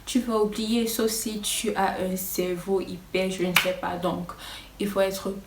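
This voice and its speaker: A woman's voice: medium pitch, steady tone, measured pace, reverberant, far from the mic, background noise. A woman whose first language is English teaching English in casual French, hesitant, with many fillers and a nervous, stop-start delivery. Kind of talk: casual vlog-style lesson.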